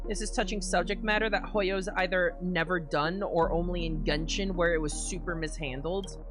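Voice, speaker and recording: A woman streaming a game, giving expressive, sweary, casual live reactions. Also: lowish voice, normal speed, music in the background.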